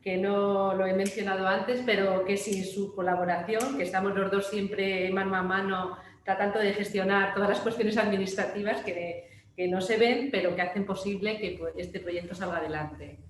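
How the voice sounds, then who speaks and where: A woman with a Spain Spanish accent speaks over a video call from a very echoey room, with faint noise behind her. Her mid pitch carries earnest, long sentences. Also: fairly even intonation, normal speed. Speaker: administrative project director. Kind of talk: thanking a colleague at the end of a talk.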